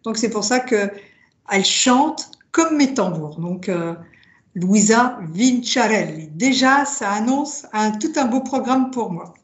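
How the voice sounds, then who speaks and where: A woman born in France to Italian parents, with Italian as her first language, telling her story slowly with many pauses and fillers. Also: on the high side, lively intonation. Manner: hesitant, many fillers, reflective. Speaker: drum maker, guest. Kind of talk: casual interview, personal story. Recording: roomy, dull lo-fi sound.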